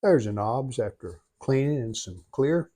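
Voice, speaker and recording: Male hobbyist restorer, mid-range voice, plain-spoken and unhurried in tone, casual step-by-step commentary in short phrases. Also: mid-range voice, animated, mellow. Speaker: hobbyist restorer demonstrating a repair. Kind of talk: casual hands-on how-to narration.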